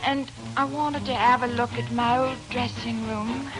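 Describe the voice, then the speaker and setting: A woman's voice: fairly high pitch, fairly even intonation, unhurried, natural voice. An actress playing a wistful, chatty former showgirl in 1940s radio drama style, familiar in manner. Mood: wistful, friendly. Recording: old radio broadcast, loud music.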